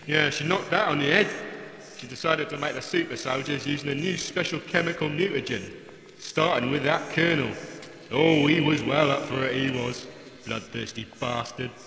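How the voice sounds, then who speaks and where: A man's voice: on the high side, moderate pace, creaky. A man voicing a grumbling, cheeky old working-class robot with a British accent, blokey and sarcastic, in a comic audio drama. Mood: matter-of-fact. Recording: echoey hall, crowd noise behind.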